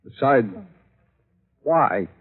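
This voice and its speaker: Uneasy, pleading American photographer with a record, halting delivery, vintage radio drama, narrow muffled audio. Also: male voice, medium pitch, expressive. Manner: halting, pleading, repeats himself. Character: nervous, defensive male photographer with a record. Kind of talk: golden age radio drama acting.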